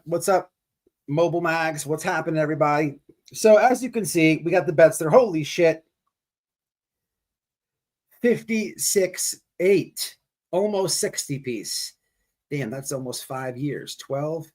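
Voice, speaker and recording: Man, livestream host, animated intonation, mocking commentary, repetitive, rambling, profane, casual. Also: a little high.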